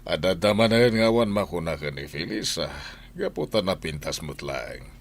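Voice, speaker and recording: A man acting a calm older father and head of a household in an Ilocano radio drama. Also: low voice, moderate pace, creaky, a little hiss or hum, heavily acted. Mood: calm, reasoning.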